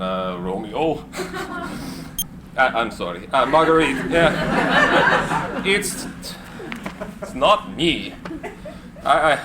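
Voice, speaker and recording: An actor playing a shy, high-minded inventor in a script reading, speaking formally with halting stammers. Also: male voice. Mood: flustered, regretful. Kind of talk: live screenplay reading. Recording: live room recording with faint noise.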